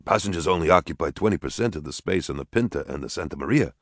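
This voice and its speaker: A man voicing a calm, precise male historian in an audiobook, level and matter-of-fact. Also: deep voice, quick pace, dry recording, studio quality.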